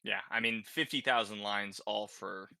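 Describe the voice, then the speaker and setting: A man's voice: on the low side, normal speed, gravelly, pushed and bright, dry recording. A man hosting a podcast, chatting casually about video games in an informal way, as if thinking aloud. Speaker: podcast host.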